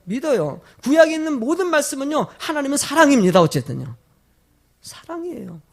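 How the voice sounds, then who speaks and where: A man's voice: very high voice, big pitch swings, gravelly. A man preaching a sermon as a pastor, emphatic, putting rhetorical questions to his congregation, his throat sore from a cold. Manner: emphatic, with drawn-out stressed phrases.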